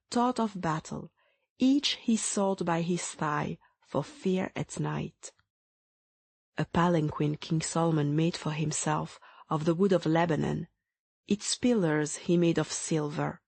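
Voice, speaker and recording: A woman playing a yearning bride of ancient scripture, low and breathy, close and dry. She reads the verse slowly and solemnly, as a hushed bedtime audio drama. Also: no echo, exaggerated voice. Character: loving, yearning bride of the Song of Songs.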